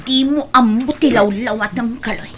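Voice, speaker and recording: A woman's voice: fairly high pitch, fairly even intonation, normal speed, fairly bright tone, natural voice. A woman with an Ilocano accent playing a long-suffering but resolute young wife in an audio drama, earnest and plaintive. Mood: indignant.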